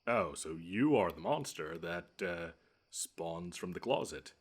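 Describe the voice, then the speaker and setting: Audio roleplay monster, male actor: very low voice, smug, taunting, theatrical, menacing but quick to get flustered. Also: lively intonation, slightly rough, full character voice. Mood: startled, demanding. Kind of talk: scripted one-sided audio roleplay.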